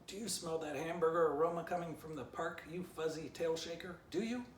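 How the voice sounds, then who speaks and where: A man voicing a clever storybook dog leader putting on a tough act, loudly taunting a squirrel. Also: on the high side, brisk, pitched above the performer's own voice, close to an everyday voice. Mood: mock-tough taunting. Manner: loud mock-tough taunting. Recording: roomy, distant mic, faint noise.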